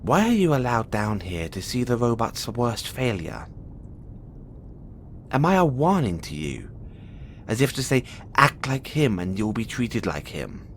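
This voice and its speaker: Man acting as a cocky, volatile caged experiment, animated. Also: mid-range voice, a little slow, a little hiss or hum. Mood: teasing, probing. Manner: teasing, swaggering, swinging from soft murmurs to loud outbursts. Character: huge imprisoned male experiment, cocky, bitter, volatile. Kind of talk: intimate audio roleplay monologue addressed to the listener.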